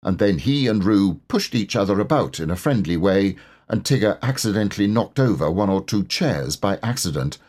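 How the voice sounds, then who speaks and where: A man narrates a children's story from a script. His voice sits fairly low and moves expressively, with a slight huskiness. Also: expressive, normal speed, a little mellow. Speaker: storybook reader, voice actor.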